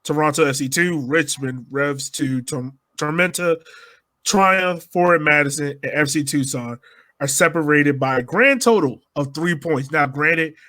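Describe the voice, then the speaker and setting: A man with a fairly high, very bright voice hosts a sports podcast. He talks casually, like he is chatting with fellow fans. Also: measured pace.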